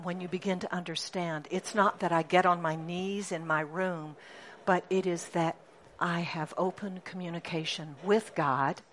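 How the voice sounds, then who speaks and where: A middle-aged woman pastor preaching a sermon in a lively, chatty way, often asking the congregation questions. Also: lowish voice, slight background noise.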